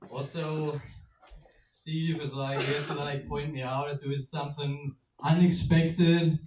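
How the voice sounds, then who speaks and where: A man's voice: fairly high pitch, little pitch movement, measured pace. German man doing open-mic stand-up. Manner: self-deprecating, chuckles at own jokes. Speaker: stand-up comedian. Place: small indoor venue stage. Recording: roomy, distant mic, faint noise.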